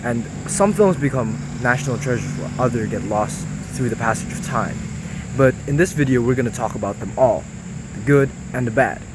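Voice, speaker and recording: A man's voice: mid-range voice, animated, fairly quick, noisy background. A man opening his own video, casual and joking as he speaks straight to the viewer. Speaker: video host.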